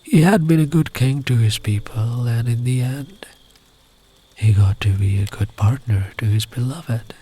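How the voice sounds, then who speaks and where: Slow, soft-spoken man telling a bedtime story. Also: medium pitch. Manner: soft-spoken, often breathy near-whisper; soothing, unhurried, tender. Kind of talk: gentle ASMR storytelling.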